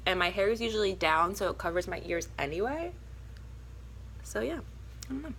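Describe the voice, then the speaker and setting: A young woman with a raspy, hoarse voice from a cold, chatting casually and a little nervously through a makeup tutorial. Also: medium pitch, sing-song, normal speed, a bit bright, a little hiss or hum, poor audio. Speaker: beauty vlogger.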